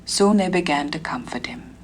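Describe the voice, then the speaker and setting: English actress, storybook narrator, gentle measured reading. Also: female voice, low voice, animated, a little hiss or hum. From England. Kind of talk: storybook reading on a spoken-word LP.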